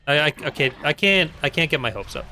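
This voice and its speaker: Bright-voiced man doing wry game commentary. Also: a little high, big pitch swings, quick pace, a little hiss or hum. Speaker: gaming video host. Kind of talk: casual, rambling playthrough commentary.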